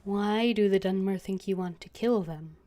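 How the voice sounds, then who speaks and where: Soft-spoken woman streaming a game. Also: medium pitch, normal speed. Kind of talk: casual gaming stream, reading her character's lines. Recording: loud background game noise.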